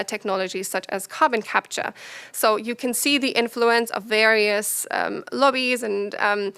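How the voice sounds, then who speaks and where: A woman's voice: mid-range voice, gravelly, pushed and bright, clean tone. A woman presenting at a workshop, explaining negotiation texts with frequent "um"s and "kind of" hedges. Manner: many fillers, hedging with "kind of".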